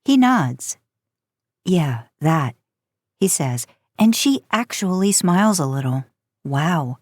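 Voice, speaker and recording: A woman's voice: fairly low pitch, sing-song, unhurried, darkish tone, no echo. A woman narrating a written story in the manner of an audiobook, reading from a script. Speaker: podcast host and fan fiction narrator.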